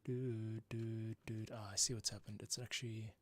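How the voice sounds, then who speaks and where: Low-voiced man muttering over music. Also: flat delivery, normal speed, fairly bright tone. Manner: muttering to himself, thinking aloud. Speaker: composer hosting a live music production stream. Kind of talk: casual think-aloud tutorial while working. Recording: music playing behind the voice. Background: score playback and computer keyboard clicks.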